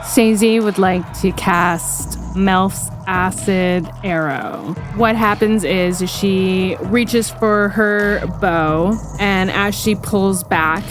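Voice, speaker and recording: A woman's voice: medium pitch, fairly even intonation, very slow. A woman playing a tabletop game, describing what her character does. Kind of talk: in-game action narration. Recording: music behind the voice. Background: music.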